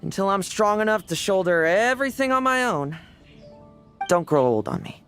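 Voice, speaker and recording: A man's voice: sits high, sing-song, normal speed, a bit bright, faint music behind, heavily acted. A man voicing a playful, cocky young knight in an anime game, with a teasing, sardonic, theatrical delivery. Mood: wry, confident. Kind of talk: anime game character voice acting.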